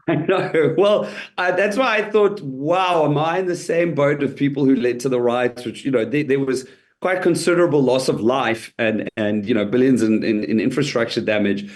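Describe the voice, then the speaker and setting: A man's voice: mid-range voice, moderate pace. A man guesting on an interview as a comedian, telling his story in a chatty way, laughing easily and restarting his sentences often.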